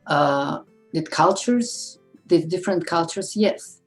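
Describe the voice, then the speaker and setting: A middle-aged woman from Uzbekistan, a librarian, answering interview questions thoughtfully with pauses. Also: lowish voice, lively intonation, faint music behind. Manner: thoughtful, pausing with fillers.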